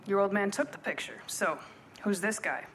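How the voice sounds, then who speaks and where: An actress playing a cool, confident female private investigator in a noir radio play, deadpan and wisecracking. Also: mid-range voice, moderate pace, slight background noise, lightly acted. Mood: cool, businesslike. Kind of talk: noir radio play acting, narration and dialogue.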